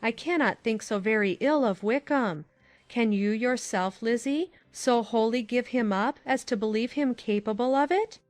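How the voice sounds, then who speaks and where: Fairly high actress playing middle-aged aunt, genteel, concerned, exclamatory, dry sound, period audiobook drama. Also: female voice, normal speed, no echo, faint music behind, natural voice. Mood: earnest.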